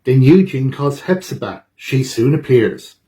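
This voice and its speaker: A man reads a rhyming picture book for children as a storytime reader. His voice sits at an average pitch and he delivers each line with expressive drama. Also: unhurried.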